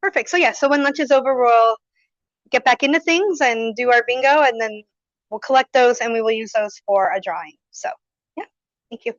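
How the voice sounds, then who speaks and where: A woman's voice: fairly high pitch, a little fast, no room sound, lo-fi sound. Friendly woman announcing a bingo activity. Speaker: volunteer organizer introducing an activity. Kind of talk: short announcement. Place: small conference room. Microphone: handheld microphone.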